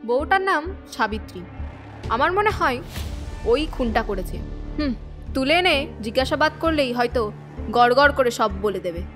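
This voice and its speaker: A woman's voice: high-pitched, lively intonation, moderate pace, pauses often, a bit bright, faint music behind. An actress voicing a firm, questioning woman police officer in an audio crime drama. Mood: puzzled. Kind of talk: Bengali audio drama acting.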